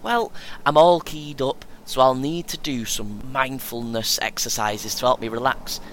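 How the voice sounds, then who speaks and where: Audio play: male actor as cocky, earnest young British runner, chatty know-all, bright edge, average pitch, light engine rumble underneath. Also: measured pace, natural voice. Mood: advising, keen. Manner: earnest, self-important, chatty, chuckles often. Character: chatty, boastful, eager young amateur marathon runner. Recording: faint vehicle noise behind. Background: vehicle rumble, coach ambience.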